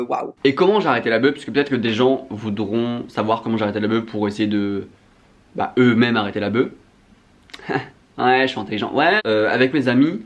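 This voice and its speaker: A young man from France speaks at a very quick clip in a slightly low voice. He has a French accent and talks as in a casual confessional vlog, frank and slangy, readily poking fun at himself. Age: young adult. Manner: candid, self-mocking, slangy. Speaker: vlogger.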